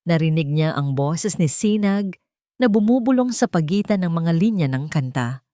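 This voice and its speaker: A woman storyteller with a Filipino accent narrates a scripted drama. Her voice sits slightly low for a woman, and the sound is very dry, with hardly any room to it. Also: lively intonation, moderate pace, mellow, no room sound.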